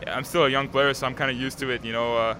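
An eighteen-year-old man from Canada, a native French speaker, answering interview questions outdoors in a calm, composed way with many fillers. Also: mid-range voice, rapid-fire, poor audio. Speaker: tennis player, interviewee. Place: outdoors at a tennis stadium. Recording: crowd noise behind.

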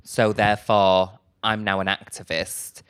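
Camp, quick-witted man with a low voice. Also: little pitch movement, a bit bright, smooth. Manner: camp, quick-witted, self-mocking, full of jokes. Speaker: stand-up comedian guest. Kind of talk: chatty radio interview.

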